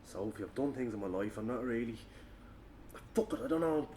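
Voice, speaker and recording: A man's voice: medium pitch, animated, a little fast, a little mellow, natural voice. A man with an Irish accent playing an awkward, guarded man with a troubled past, speaking tersely and hesitantly in a drama read. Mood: tentative. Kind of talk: low-key drama table-read acting. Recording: room recording with faint background noise.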